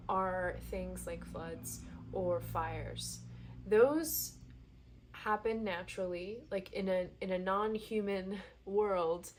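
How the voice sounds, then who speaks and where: A woman's voice: medium pitch, slight background noise. A woman in her thirties with a cold, sounding nasal and congested, chatting casually and thinking aloud in a meandering monologue. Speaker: vlogger.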